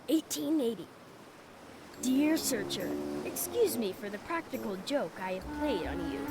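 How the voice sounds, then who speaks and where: A woman narrating a scripted story as a storybook reader, with a measured, deliberate delivery. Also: high-pitched. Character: storybook narrator reading a tale and letter. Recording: quiet voice under loud music. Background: loud music.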